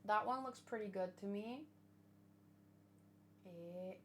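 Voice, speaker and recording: A woman's voice: mid-range voice, slow pace. A woman hosting a casual manga read-along, chatting in a rambling way with lots of fillers and restarts. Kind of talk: casual chat and reading aloud.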